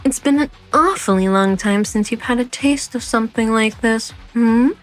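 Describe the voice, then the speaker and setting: A woman's voice: on the high side, normal speed, close to an everyday voice. A woman voicing a smug, mockingly sweet assassin with a teasing, self-assured drawl in a fan-dubbed game drama. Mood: taunting. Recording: background music under the voice.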